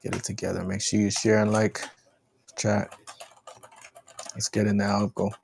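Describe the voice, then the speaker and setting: A man's voice: fairly low pitch, completely flat delivery, normal speed, vocal fry, slight background noise. A man hosting a livestream, giving casual, slangy, repetitive commentary and talking to his chat. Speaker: livestream host.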